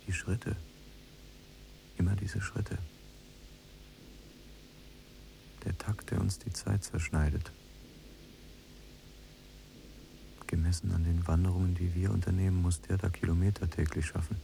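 An introspective convict in a radio drama monologue. The voice is deep, heavily gravelled and fairly even, hushed and lyrical in manner. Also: male voice, moderate pace, a little hiss or hum. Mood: haunted, brooding. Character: introspective male prisoner, brooding poetically in a cell. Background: music.

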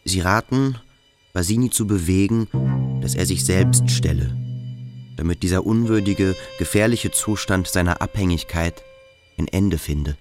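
A brooding boarding-school boy in a radio drama, very deep in voice. He is terse, dismissive and coolly defiant, with music running underneath. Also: measured pace. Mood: quiet, grave. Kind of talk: German radio play acting.

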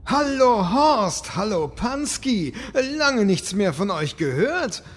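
A performer playing an odd, friendly creature with a husky voice in a comedy audio drama. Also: mid-range voice, big pitch swings, takes it slowly. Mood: friendly, halting. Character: odd, slow, friendly caller creature butting in.

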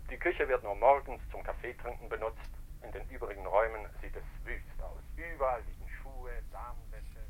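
A man playing a sober narrator in a 1950s German radio drama, describing things in terse scripted phrases with a husky voice. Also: mid-range voice, moderate pace, slight background noise, lightly acted, rough recording. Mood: matter-of-fact.

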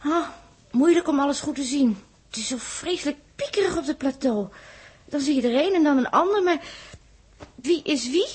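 A woman's voice: high-pitched, lively intonation, normal speed. An actress playing an anxious young girl on a spaceship in a 1960s radio drama, plaintive and questioning. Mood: fretful, curious.

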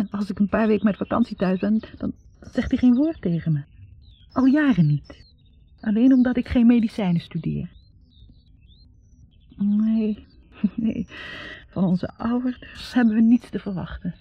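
An actress playing a bitter, reflective young woman academic in a 1960s radio drama, speaking in a halting, confessional way. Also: mid-range voice, expressive, breathy edge, no room sound, faint music behind. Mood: bitter, hurt. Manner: halting, confessional, repeating words. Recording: muffled 1960s radio recording.

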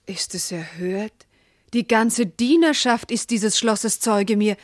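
An actress playing a proud, loving, wounded noble wife in classical verse radio drama, speaking in an earnest, elevated way. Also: female voice, medium pitch, slow, lightly acted. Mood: indignant, wounded.

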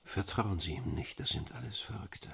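An actor playing a harried, grumbling engineer in a sci-fi radio drama, exasperated in manner. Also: male voice, low-pitched, moderate pace, vocal fry, fairly bright tone, slightly rough, exaggerated voice. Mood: wary. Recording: very noisy sound-effect backdrop.

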